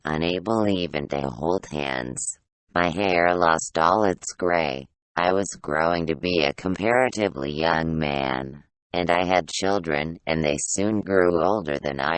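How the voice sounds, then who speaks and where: A man's voice: low-pitched, fairly bright tone, no echo, rough recording. A man playing a wistful, self-pitying ancient man in a baby's body, telling his life story in an old-fashioned way for an audio play. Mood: melancholy.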